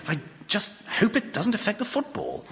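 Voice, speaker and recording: A man's voice: high voice, big pitch swings, normal speed, fairly bright tone, husky, heavily acted. An actor playing an exasperated, sensible sidekick, a grumbling straight man in a radio sitcom.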